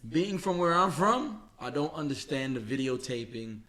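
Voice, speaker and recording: A man co-hosts a casual podcast conversation, speaking in a halting, reflective way and stretching words for emphasis. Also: mid-range voice, expressive, pushed and bright. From Queens, New York, United States. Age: 40s. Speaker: podcast co-host.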